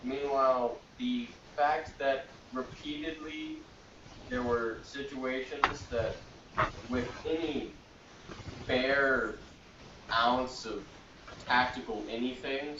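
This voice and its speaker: A young man giving a casual, critical review with blunt, halting, drawn-out phrasing, heard on a poor roomy recording. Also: mid-range voice, animated, fairly bright tone. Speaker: podcast panelist reviewing TV episodes. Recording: roomy, distant, dull, noisy mic.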